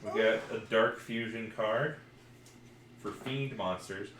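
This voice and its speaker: A man's voice: lowish voice, takes it slowly, fairly bright tone. A man chatting casually as a podcast co-host and reading out trading cards as he goes. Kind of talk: casual chat while opening trading card packs. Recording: echoey room, faint background noise.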